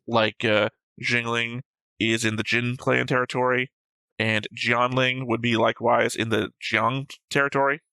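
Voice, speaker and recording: A man's voice: medium pitch, level tone, unhurried, sharp tone, clean tone, dry recording. A man in a casual discussion, arguing his points and swearing now and then as he analyses a show. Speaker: host of an anime reaction show.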